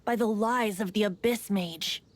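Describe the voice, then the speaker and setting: An actor playing a wistful, earnest young male bard in a video game, speaking in a measured, theatrical storytelling way. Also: high-pitched, slight background noise. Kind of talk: video game character dialogue.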